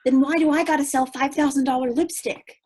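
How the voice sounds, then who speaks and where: An actress in a staged play reading, playing an eager, dim, impatient recruit who talks in slangy, insistent bursts. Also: female voice, high-pitched, fairly even intonation, a little fast, darkish tone, lightly acted. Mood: frustrated.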